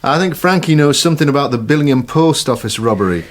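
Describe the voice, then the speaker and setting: An actor playing a calm, persistent forensic psychologist with a measured manner. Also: male voice, a little high, lightly acted.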